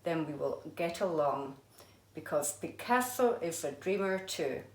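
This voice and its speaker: A woman's voice: sits low, expressive, measured pace. A woman voicing a boisterous, kindly old male artist from a storybook, speaking emphatically. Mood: amused. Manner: emphatic, commanding yet kindly. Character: Picasso, boisterous laughing elderly man, playful artist. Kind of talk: storybook character voice. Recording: echoey room.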